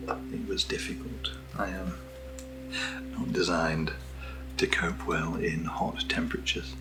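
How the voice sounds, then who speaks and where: A British man speaking in a soft, breathy near-whisper as he hosts a casual ASMR video, gentle and unhurried, close to the microphone. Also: low-pitched, background noise. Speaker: ASMR video host reviewing camera gear. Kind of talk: soft-spoken ASMR, casual unboxing chat.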